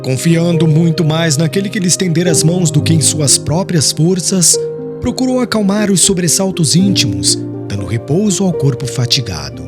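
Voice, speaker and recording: Expressive higher-voiced male audiobook narrator. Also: moderate pace, over music. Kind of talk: dramatic scripted narration of a religious novel.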